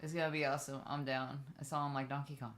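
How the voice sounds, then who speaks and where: Deep-voiced playful woman. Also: flat delivery, fast talker, fairly bright tone, slight background noise. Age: late 30s. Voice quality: deep, masculine-sounding voice. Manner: playful, laughing, joking. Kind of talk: casual chat with friend and AI.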